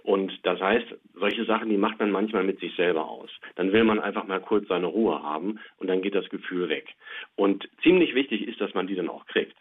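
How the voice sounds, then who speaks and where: A man's voice: low-pitched, normal speed, breathy edge. A man speaking over the telephone as an expert guest, explaining things simply and plainly with little spoken examples. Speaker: child psychiatrist, expert guest. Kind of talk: explanatory interview over the phone. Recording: tinny, narrow phone line.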